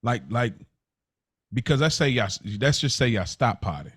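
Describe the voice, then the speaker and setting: An American man co-hosting a podcast, thinking aloud in a halting, informal way as he chats and asks questions. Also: mid-range voice, lively intonation, fairly bright tone, husky, dry recording, clean studio sound. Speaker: podcast co-host. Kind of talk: casual chat, probing questions.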